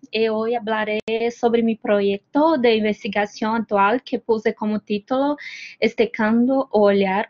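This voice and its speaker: A woman with a Brazilian accent, a native Portuguese speaker, giving an academic presentation carefully and a little hesitantly over a video call. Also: mid-range voice. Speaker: doctoral student presenting research. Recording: dull, band-limited video call audio.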